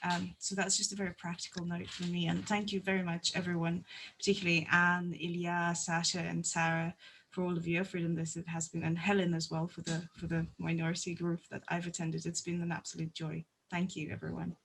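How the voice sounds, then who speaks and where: A woman's voice: lowish voice, monotone, a little fast, creaky, breathy edge. A woman giving practical remarks on a video call, with frequent ums. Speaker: seminar participant. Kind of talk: online meeting remarks. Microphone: computer mic on a video call.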